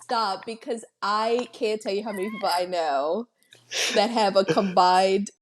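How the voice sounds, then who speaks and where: A woman co-hosting a podcast, chatting casually as she retells a film's plot with excited asides. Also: medium pitch, measured pace. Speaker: podcast co-host. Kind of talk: casual film-recap chat.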